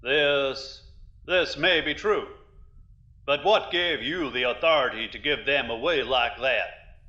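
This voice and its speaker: An actor playing a stern, solemn presiding judge of a military tribunal in a science fiction audio drama. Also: male voice, medium pitch, pushed and bright, dull audio. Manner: solemn, formal, deliberate.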